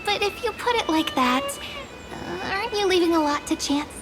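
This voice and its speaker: A woman's voice: extremely high pitch, animated, moderate pace. A woman playing a small, friendly female memory sprite in a video game, with an earnest, teasing, theatrical manner. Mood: teasing, frank. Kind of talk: video game character acting.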